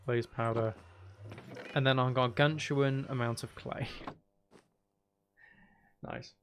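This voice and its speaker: A man's voice: mid-range voice, steady tone, takes it slowly, fairly bright tone, slight background noise. A man giving casual gameplay commentary, rambling and thinking aloud to himself as a gaming video host.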